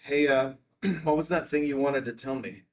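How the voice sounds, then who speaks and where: A man's voice: mid-range voice, moderate pace, lightly acted. A man acting in a table read as a dazed, earnest man who speaks hesitantly in broken phrases. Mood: casual.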